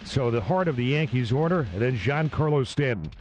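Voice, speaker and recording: Steady man narrating a game recap. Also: medium pitch, brisk, lo-fi sound, a little hiss or hum. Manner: steady, matter-of-fact summarizing. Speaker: recap host.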